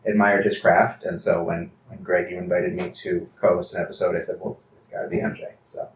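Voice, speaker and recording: A man with a low voice co-hosts a podcast, talking in an articulate, considered manner. The recording is roomy and slightly muffled, with faint background noise. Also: little pitch movement, fairly quick. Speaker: podcast co-host. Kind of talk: conversational introduction.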